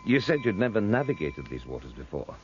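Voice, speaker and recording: An actor playing a gruff, doubtful naval officer with an English accent, blunt and hesitant, in a 1950s radio drama. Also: male voice, sits low, sing-song, brisk, darkish tone. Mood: unsure. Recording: muffled, noisy 1950s radio recording.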